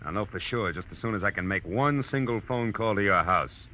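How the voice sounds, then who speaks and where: An actor playing a tough, wry private eye in a 1940s radio crime drama, speaking in clipped slang on an old recording. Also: male voice, lowish voice, lively intonation, a little fast, pushed and bright, dull audio. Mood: hard, accusing. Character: hard-boiled male private eye, wry, tough and insistent. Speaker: detective and first-person narrator.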